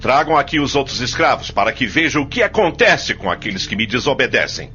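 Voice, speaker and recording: An actor playing a cruel, furious plantation master who shouts commands in an audio drama. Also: male voice, medium pitch, sing-song, fairly quick. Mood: cruel, commanding. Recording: dull, lo-fi recording with music.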